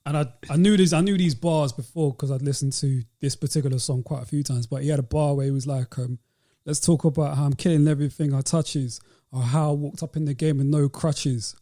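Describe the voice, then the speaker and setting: A man's voice: on the high side, steady tone, a little fast, warm tone. A man with a British accent co-hosting a podcast, giving his opinions in casual conversation. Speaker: podcast co-host.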